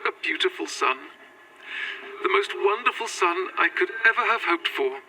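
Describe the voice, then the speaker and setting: A woman's voice: on the low side, expressive, moderate pace, sharp tone, a little hiss or hum, poor audio. An actress playing a gracious, devoted Victorian lady in a gothic audio drama, with formal, earnest, old-fashioned speech. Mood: tender, wistful. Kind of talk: found-footage gothic audio drama acting.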